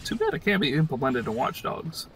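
A man's voice: a little high, huge pitch swings, fairly quick. A man giving casual, enthusiastic and jokey commentary over game footage. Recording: voice-over with game music behind.

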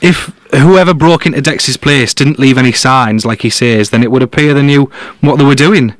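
A man's voice: medium pitch, moderate pace, dry recording, lightly acted. A man playing a down-to-earth, matey local detective guesting on a podcast. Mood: pondering, casual. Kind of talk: conversational audio drama acting.

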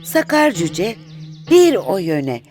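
A woman tells a children's fairy tale at an unhurried pace. Her intonation rises and falls in a lively, expressive way, with prominent music playing behind her. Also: medium pitch. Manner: expressive, deliberate. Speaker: storyteller, narrator.

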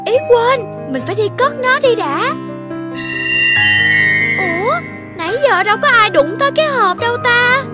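A well-mannered little animal kid in a children's cartoon. The voice is extremely high, earnest and childlike, with loud music behind it. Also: lively intonation, moderate pace, pauses often. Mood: curious, delighted. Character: polite, helpful little big-eared animal child. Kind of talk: Vietnamese children's cartoon dubbing.

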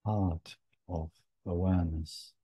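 A man leading a guided meditation as a teacher, speaking gently in short phrases with long pauses. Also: deep voice, steady tone, husky, dry recording.

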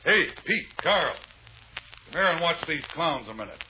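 A man's voice: on the high side, big pitch swings, normal speed. An actor playing a sarcastic, bullying male police sergeant in an old-time radio drama. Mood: threatening. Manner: sarcastic, taunting. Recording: muffled old radio recording, faint noise.

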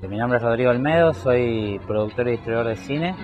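A man's voice: mid-range voice, brisk, darkish tone, lo-fi sound, faint music behind. A man, a film producer, speaking steadily in an outdoor interview with a few fillers. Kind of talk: interview talk.

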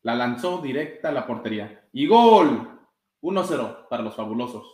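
A young man in his twenties reading a children's story aloud, a little haltingly. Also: mid-range voice, lively intonation, warm tone. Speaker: soccer goalkeeper reading a children's book. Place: small room with blinds, indoors. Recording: roomy indoor webcam sound.

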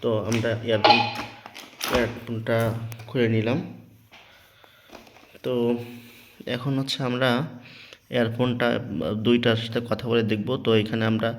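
A man's voice: medium pitch, flat delivery, normal speed, pauses often. A man with a Bangladeshi accent giving an informal hands-on tutorial, explaining step by step, repeating himself and often checking that listeners follow. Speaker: product demonstrator. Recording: noticeable background noise, small clicks.

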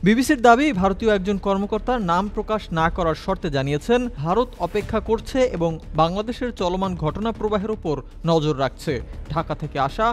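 A man with a Bangladeshi accent narrating a scripted news report in a formal, measured manner. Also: sits high. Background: background music.

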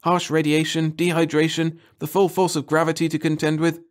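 A man's voice: on the high side, flat delivery, fairly quick, pitched above the performer's own voice, natural voice. A man voicing an eager, earnest female scientist, an aquatic creature, in an audiobook. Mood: awed. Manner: earnest, wonder-struck, pleading. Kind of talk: audiobook character voice.

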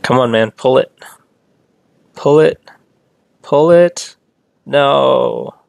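A man's voice: medium pitch, drawn-out words, gravelly, darkish tone, no echo. A man live-streaming a mobile strategy game, chatting casually and answering viewers in chat. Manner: chatty, reads and answers chat, rambling asides.

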